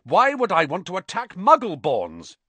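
A man's voice: medium pitch, very animated, normal speed, a bit bright, no room sound, voice pushed up. A man voicing an earnest, hot-tempered schoolboy hero in an audiobook, direct and indignant. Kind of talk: audiobook character acting.

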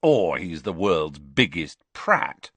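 A man's voice: deep voice, very animated, slow pace, a bit bright, breathy edge, dry recording, exaggerated voice. Man voicing teasing, mischievous older teen twin. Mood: flippant. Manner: teasing, drawling, mock-grand.

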